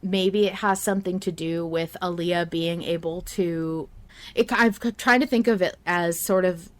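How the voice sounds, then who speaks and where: A woman hosting a podcast in a casual fan-chat style, talking in an excitable, emphatic way. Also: on the low side, a little slow. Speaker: podcast host.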